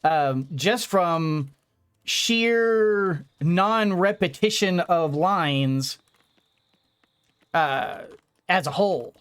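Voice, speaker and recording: A game streamer talks casually at a very slow pace in a middling pitch. The delivery rambles, as if thinking aloud while playing. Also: lively intonation, a bit bright, smooth. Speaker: let's play streamer.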